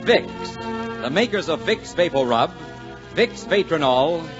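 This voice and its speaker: A man's voice: on the high side, expressive, slow. A man announcing a sponsored program in a 1940s radio advertising style. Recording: muffled 1940s radio broadcast recording. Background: music.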